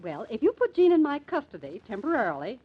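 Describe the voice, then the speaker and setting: An actress playing an earnest, scheming small-town society matron with a husky, theatrical delivery in an old-time radio drama. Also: female voice, a little high, huge pitch swings, fast talker, heavily acted. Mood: persuasive, scheming. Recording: dull, muffled old radio recording.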